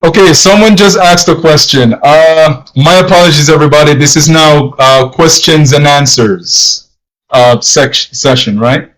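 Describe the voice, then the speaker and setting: A man's voice: a little high, measured pace, fairly bright tone, poor audio. Jamaican man teaching on a livestream. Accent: put-on non-Jamaican accent, not his natural one. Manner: rambling, repeats himself, frequent fillers. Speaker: language teacher, livestream host. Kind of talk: casual livestream talk.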